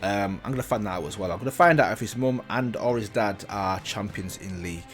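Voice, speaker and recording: A man's voice: lowish voice, fairly quick, music in the background. A man giving casual, curious reaction commentary as a video host, often repeating himself.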